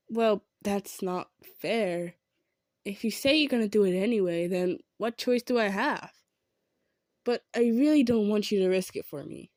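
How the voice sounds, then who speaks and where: A woman's voice: on the high side, no room sound, studio quality. A woman voicing a kind, self-effacing female centaur in an improvised audio roleplay, speaking earnestly and a little hesitantly to the listener. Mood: earnest, reluctant. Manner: earnest, hesitant, gentle insisting.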